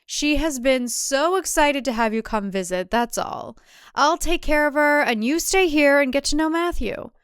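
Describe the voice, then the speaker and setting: A woman's voice: high-pitched, moderate pace, no echo, clean studio sound, voice pushed up, natural voice. A woman voicing a controlling husband in a thriller, falsely soothing and patronizing, with menace underneath. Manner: condescending, syrupy.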